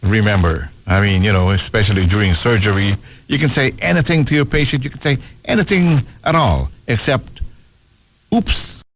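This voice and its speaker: A man's voice: lowish voice, moderate pace. A man hosting a music radio show as DJ, with playful patter and joking asides between songs. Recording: radio aircheck with loud music behind. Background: loud pop music.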